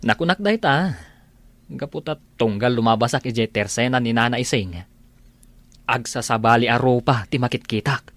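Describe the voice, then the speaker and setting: A man's voice: medium pitch, normal speed, a bit bright, dry recording, close to an everyday voice. A man playing a pushy, encouraging young friend in an Ilocano audio drama, urging his pal on. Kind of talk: Ilocano radio-style drama acting.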